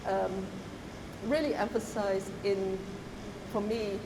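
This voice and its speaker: A middle-aged woman from Zimbabwe, an artist talking reflectively, pausing often and restarting her thoughts. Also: mid-range voice. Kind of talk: reflective conversational talk. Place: gallery. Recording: roomy hall with hiss.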